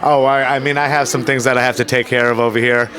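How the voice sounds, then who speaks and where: A mid-pitched man answers politely, stumbling through restarts. Steady crowd chatter fills the hall around him. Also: quick pace. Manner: hesitant, many fillers and restarts, polite. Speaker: guest artist. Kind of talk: casual event interview. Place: crowded indoor event hall.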